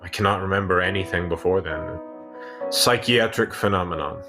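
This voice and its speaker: A man playing a reserved, haunted scientist who survived a wartime camp, speaking haltingly in a sci-fi radio play. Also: low-pitched, close to an everyday voice. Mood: detached, somber. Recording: music under the voice.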